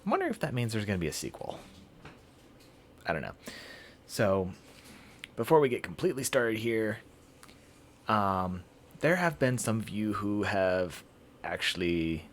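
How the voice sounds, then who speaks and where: Deep-voiced man livestreaming, slow and halting. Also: big pitch swings. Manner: halting, rambling delivery with throat clearing. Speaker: game streamer, host. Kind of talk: casual livestream chat.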